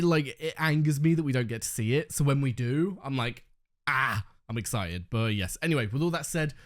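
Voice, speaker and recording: Excitable man with a sore throat. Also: mid-range voice. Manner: excitable, swears freely. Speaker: reaction video host. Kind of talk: casual reaction commentary.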